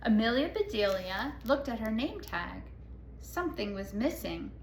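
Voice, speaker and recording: A woman reading a children's picture book aloud expressively, pausing to explain the pictures. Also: fairly high pitch, big pitch swings. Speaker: storybook reader. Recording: echoey room, faint background noise.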